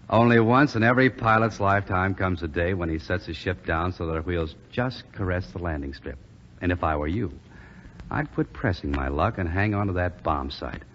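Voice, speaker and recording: Calm American flyer, lowish voice, measured, reassuring, 1940s radio drama, muffled old recording. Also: male voice, slight background noise. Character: steady, reassuring American bomber crewman coaching his friend. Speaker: radio actor.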